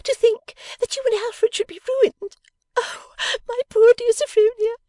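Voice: very high-pitched, fairly even intonation, a little slow, mellow, dry recording, clean studio sound, voice pushed up. A reader voicing a silly, tearful young lady who gushes breathlessly while sobbing. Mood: tearful gushing.

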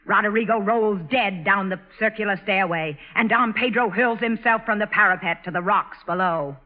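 A woman's voice: mid-range voice, moderate pace, lightly acted. A woman playing a bold, impatient tomboy with literary ambitions, emphatic and headstrong, in 1940s radio drama style. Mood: eager storytelling. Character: bold, impatient tomboy girl, aspiring writer. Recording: muffled old radio broadcast recording.

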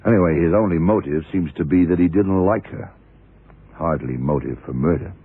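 An actor playing a shrewd, methodical police detective in an old radio drama, questioning in a deliberate, probing way. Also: male voice, sits low, breathy edge. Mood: pondering. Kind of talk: 1960s-70s radio drama acting. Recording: tinny, muffled old radio recording.

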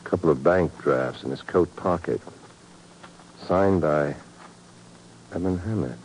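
An actor in a 1950s radio drama playing a cool, worldly secret agent with a dry, wry, unruffled manner. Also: male voice, low-pitched, unhurried, a little mellow. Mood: grave, measured. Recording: noisy vintage 1950s radio recording.

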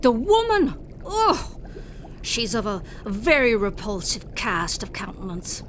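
Superstitious colonial farm wife, indignant and fearful, high swooping voice, slow melodramatic delivery. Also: female voice, sing-song, a little hiss or hum. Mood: disgusted, fearful. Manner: melodramatic, disgusted, emphatic. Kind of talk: radio drama acting in period speech.

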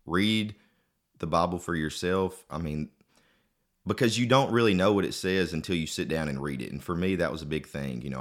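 A man, a podcast guest, giving earnest, plain-spoken parting words of encouragement. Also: sits low. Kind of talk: casual closing remarks.

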